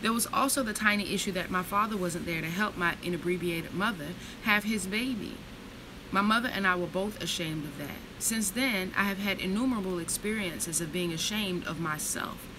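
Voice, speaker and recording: Woman reading aloud over road noise. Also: on the low side. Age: 30s. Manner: slow reflective reading, then blunt profane chatter. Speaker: vlogger reading from a book. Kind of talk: reading aloud then casual storytelling.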